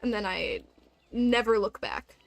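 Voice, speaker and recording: A woman's voice: medium pitch, a little slow, vocal fry, no room sound, soft background music, rough recording. A woman chatting casually on a gaming livestream, rambling along with the odd swear word.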